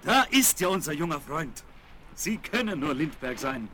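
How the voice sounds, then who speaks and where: Genial direct businessman, raised pitch, seventies radio drama, slight record noise. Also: sing-song, normal speed, pushed and bright. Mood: hearty, admiring. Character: genial, direct businessman greeting a young hero. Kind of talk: 1970s German radio play acting. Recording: faintly noisy vinyl record transfer.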